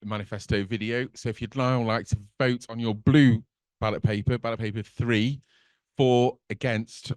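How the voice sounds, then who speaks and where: Guy running the meeting, quick and procedural, dry close sound. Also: mid-range voice, fairly even intonation, darkish tone, no echo. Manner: brisk, procedural. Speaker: meeting chair. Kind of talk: chairing a meeting.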